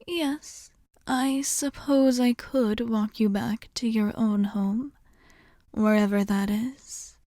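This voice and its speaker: A woman playing a courteous, soothing vampire bartender in an ASMR roleplay, speaking softly and formally, often dropping to a whisper. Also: on the high side, fairly even intonation, no echo, clean studio sound. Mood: calm, solicitous. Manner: formal, measured, gently reassuring.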